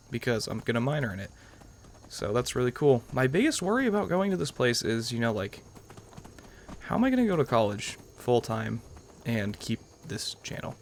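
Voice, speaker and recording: A young American man chats casually through a video game, often rambling and qualifying himself. His mid-pitched voice has plenty of vocal fry, over faint game ambience. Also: slight background noise. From New York, United States. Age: young adult. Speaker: gaming channel host. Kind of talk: casual let's-play commentary.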